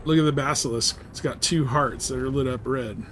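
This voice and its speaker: A man streams a game in a mid-pitched voice, with clear noise behind him. He talks casually and loosely, thinking aloud in a laid-back way as he plays. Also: a little fast, a bit bright. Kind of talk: casual live gameplay commentary.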